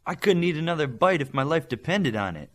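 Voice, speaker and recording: A man's voice: a little high, big pitch swings, a little fast, a bit bright, pitched above the performer's own voice. A man voicing a cocky, joking teenage boy superhero in a storybook reading, casual and punchy. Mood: stuffed, casual.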